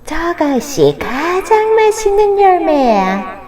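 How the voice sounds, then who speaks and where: Woman as a high-pitched, sly Satan. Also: big pitch swings, slow, crowd noise, full character voice. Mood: sly, tempting. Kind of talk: children's Bible storytelling.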